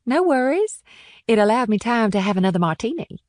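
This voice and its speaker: A woman's voice: fairly high pitch, sing-song, a little fast, a little mellow, no room sound, studio quality. A woman playing a breezy, arch, somewhat defensive wealthy mother in an audiobook drama. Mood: breezy, teasing.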